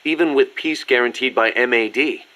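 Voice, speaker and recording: Guy doing army strategist for a game briefing, confident, businesslike, explains everything clearly, medium pitch. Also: moderate pace, sharp tone, lightly acted. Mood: serious, explanatory. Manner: articulate, explanatory. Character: confident, businesslike male military second-in-command and strategist. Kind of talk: scripted video game briefing dialogue.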